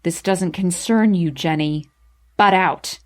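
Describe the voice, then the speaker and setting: A woman's voice: lowish voice, fairly even intonation, slow pace, gravelly, darkish tone. Woman reading as brooding, snappish young man. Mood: cold, irritated. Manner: curt, cutting.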